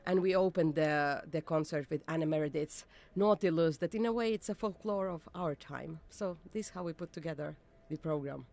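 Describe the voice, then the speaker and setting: Woman conductor, bit of vocal fry, earnest, lots of restarts. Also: low voice, normal speed, hardly any pauses, darkish tone. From Ukraine. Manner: earnest, searching for words with many restarts. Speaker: chief conductor. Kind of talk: intermission interview.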